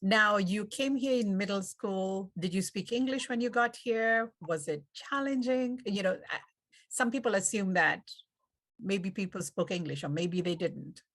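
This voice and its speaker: A woman's voice: mid-range voice, a bit bright. Kenyan woman moderating a panel. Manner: encouraging, unhurried, with fillers. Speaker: event host.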